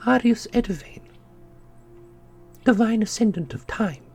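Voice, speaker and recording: A man voicing a solemn, knowing keeper of an ancient magical order in a fantasy audio roleplay, speaking in a formal, measured way. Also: high voice, animated, vocal fry, darkish tone, slightly rough, full character voice. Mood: solemn, wistful. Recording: music under the voice.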